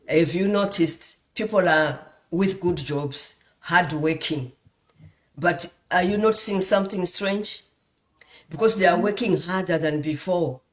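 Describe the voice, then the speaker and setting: Woman from zimbabwe, earnest motivational talk, lots of rhetorical questions. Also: deep voice. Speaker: guest speaker, nurse and business mentor. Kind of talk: motivational talk on a group call.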